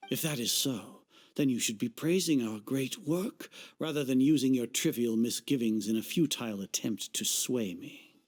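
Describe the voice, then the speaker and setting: An actor playing a pompous, coldly menacing older grand sage in a video game dub, formal and condescending, with a husky voice. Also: male voice, medium pitch, moderate pace, natural voice. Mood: condescending, impatient.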